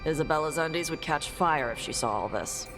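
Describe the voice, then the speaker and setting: A woman voicing a blunt young dragon hunter who talks in short, gruff, dismissive lines in a game cutscene. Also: sits low, lively intonation, a little fast, music in the background, rough recording. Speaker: treasure hunter. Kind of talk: video game cutscene dialogue.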